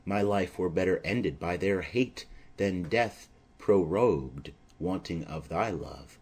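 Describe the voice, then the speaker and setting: A man voicing an ardent, bold, lovestruck young nobleman in earnest, measured Shakespearean verse. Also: low voice, a little mellow, breathy edge, pitched below the performer's own voice, heavily acted. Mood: ardent, defiant.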